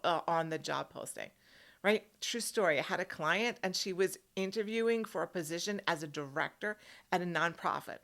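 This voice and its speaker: A woman working as a career coach, speaking straight to the viewer in an emphatic, instructional way and often checking in with short tag questions. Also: medium pitch. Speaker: career coach hosting an advice video.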